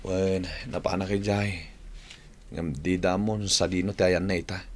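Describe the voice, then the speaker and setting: An actor playing a worried, lovesick young man in an old radio drama, talking softly and apologetically. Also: sits low, level tone, a little slow. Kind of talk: 1990s-style AM radio drama acting. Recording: old AM radio recording, faint hiss.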